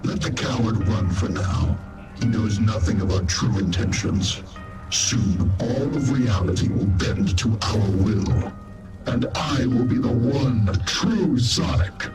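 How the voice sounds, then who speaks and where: A man's voice: lowish voice, takes it slowly. Male actor as a menacing robot hedgehog villain, calculating and formal, roomy echo. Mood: scheming. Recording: echoey with music behind.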